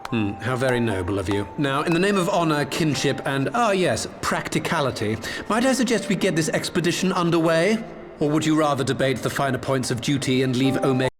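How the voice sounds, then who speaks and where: A haughty Imperial engineer, played by a male actor, speaks in a highish voice that swoops with disdain. He is sardonic and pompous, a video game character over prominent music. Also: lively intonation, a little fast, lightly acted. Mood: sarcastic. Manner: pompous, condescending. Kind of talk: theatrical video game voice acting. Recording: music behind the voice.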